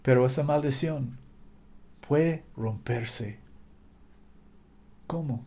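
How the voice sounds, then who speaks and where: Man preaching, non-native speaker, mellow warm tone, fervent repetitive exhortation. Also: mid-range voice, extremely slow, husky. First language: not Spanish. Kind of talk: fervent sermon.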